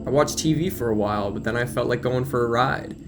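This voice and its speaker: A man's voice: mid-range voice, quick pace, music in the background, natural voice. Man as pleading, anxious teenage boy. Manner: pleading, defensive.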